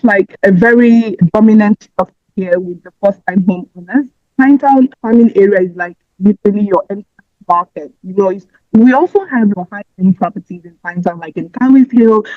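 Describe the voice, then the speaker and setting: A woman's voice: mid-range voice, moderate pace, no echo. Woman chatting away on a podcast, lots of um and like, bit muffled and dry. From Nigeria. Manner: chatty, many fillers and restarts. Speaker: podcast guest. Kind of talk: casual interview answers. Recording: slightly muffled dry audio.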